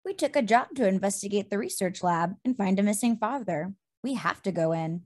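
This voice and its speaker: A woman playing an earnest, encouraging young adventurer, sincere and steady, in an animated fantasy table read. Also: mid-range voice, a little fast, natural voice. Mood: serious.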